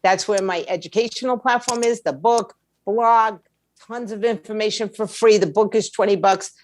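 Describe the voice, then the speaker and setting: A woman's voice: mid-range voice, fairly even intonation, normal speed. A woman speaking as a guest expert in an interview, explaining things in a plain-spoken, emphatic, conversational way. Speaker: guest, music attorney and indie label owner.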